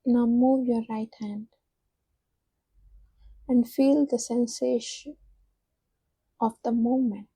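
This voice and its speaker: A woman guides a meditation in a soft, fairly high voice. Her delivery is slow and evenly pitched, with little rise or fall. She gives gentle, soothing instructions and leaves long pauses between them. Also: fairly even intonation, slightly rough, dry recording.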